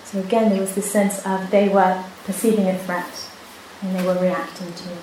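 A woman whose first language is Hebrew, a meditation teacher telling a story in a calm, unhurried way with many pauses. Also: medium pitch. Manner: soft-spoken, unhurried, with many pauses and restarts. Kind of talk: dharma talk with personal story. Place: retreat hall. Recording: echoey hall, faint hiss.

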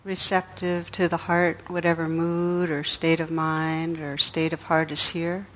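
A woman leading a guided meditation as a teacher, speaking in a gentle, soothing, unhurried way with pauses. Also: sits low, flat delivery, a little hiss or hum, low-quality recording.

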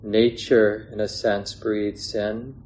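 A man teaching a guided meditation in a gentle, unhurried way, pausing between short phrases. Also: on the low side, little pitch movement, a little hiss or hum. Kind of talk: guided meditation instruction, unscripted.

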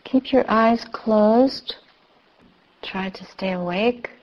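Female meditation teacher, slow and measured, soothing guidance, slightly lo-fi sound. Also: mid-range voice. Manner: measured, soothing, with long pauses. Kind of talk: guided meditation instruction. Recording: slightly dull, muffled recording.

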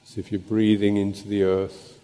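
A man teaching as a Buddhist monk, leading a guided meditation in a contemplative, halting way with pauses between short phrases. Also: fairly low pitch, monotone, mellow. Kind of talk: guided meditation and Dhamma talk. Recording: long reverberant hall echo.

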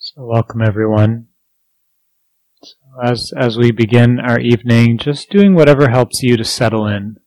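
A man leading a guided meditation as a teacher, speaking gently and unhurriedly with pauses between phrases. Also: medium pitch, no echo, poor audio.

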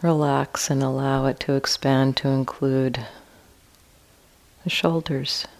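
A woman teaching a guided meditation in a calm, gentle manner with long pauses between phrases. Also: extremely low pitch.

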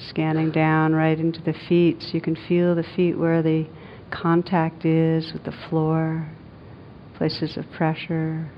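A woman meditation teacher leading a guided meditation in a gentle, soothing, unhurried manner with long pauses. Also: completely flat delivery. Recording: dull lo-fi sound, rumbling background noise.

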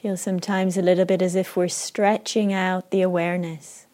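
A woman with an Israeli accent leading a guided meditation, speaking slowly and softly with long pauses. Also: on the low side, little pitch movement. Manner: slow, soft and unhurried, with long pauses. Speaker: meditation teacher.

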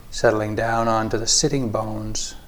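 A man leading a guided meditation as a teacher, speaking calmly and in short, measured phrases with long pauses. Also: lowish voice.

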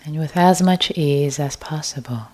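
A woman meditation teacher leading a guided meditation in a gentle, hushed way, leaving long pauses. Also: sits low.

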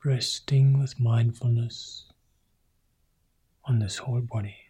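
Hushed, slow-paced male meditation guide. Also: mid-range voice, steady tone, slightly rough. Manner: soft, slow phrases, often sinking to a whisper. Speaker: Buddhist monk leading meditation.

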